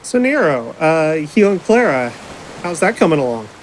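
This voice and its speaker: A man's voice: fairly high pitch, big pitch swings, normal speed, rough recording. A man playing a chatty, unflappable stage magician in an audio drama, with wry conversational patter. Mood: teasing.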